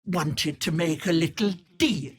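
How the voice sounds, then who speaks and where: A woman playing a sly old hag witch in a video game, with an Irish accent and a husky, raspy voice that wheedles sweetly and turns menacing. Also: low voice, unhurried. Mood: haughty, teasing. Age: older. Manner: wheedling endearments turning to menace. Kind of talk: video game character acting.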